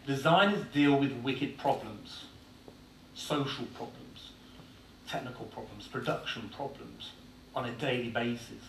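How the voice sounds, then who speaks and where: A man's voice: mid-range voice, unhurried, gravelly, fairly bright tone. Irish-born man, English accent, conference speaker, blunt and informal, lots of "uh"s, mid-range voice, picked up from a distance. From Ireland. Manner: blunt, informal, with frequent "uh" fillers. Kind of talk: conference presentation. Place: conference hall. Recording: echoey hall, distant mic, faint noise.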